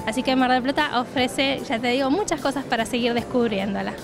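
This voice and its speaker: A woman's voice: sits high, a little fast, over music. A woman in her thirties with an Argentine accent, a city tourism official answering interview questions fluently at a trade fair stand, with many "eh" fillers, into a handheld mic. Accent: Argentine Rioplatense Spanish. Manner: fluent promotional listing, frequent "eh" fillers. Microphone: handheld reporter mic.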